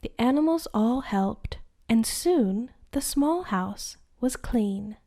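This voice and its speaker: A woman hosting a bedtime story show, narrating a fairy tale in a soft, hushed, soothing way. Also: fairly high pitch, expressive, slow. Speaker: host and storyteller.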